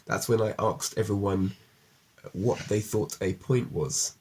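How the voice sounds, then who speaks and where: A man's voice: fairly low pitch, unhurried, darkish tone, slight background noise. A man interviewing casually, explaining things and encouraging the person he talks with. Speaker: interviewer, puppet show storyteller.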